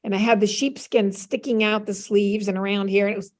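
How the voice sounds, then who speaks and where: Older woman, American accent, medium pitch, animated storyteller, casual panel guest, acts out remembered conversations. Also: fairly quick. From United States.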